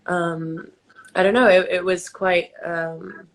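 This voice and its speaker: A young woman from England, raised speaking Albanian at home, talks on a phone livestream. Her voice sits on the low side and she rambles in a relaxed way, stopping and restarting with plenty of ums and likes. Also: slow pace. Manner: chatty, many fillers and restarts. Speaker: host of a livestream chat. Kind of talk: casual chat. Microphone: phone on a video livestream.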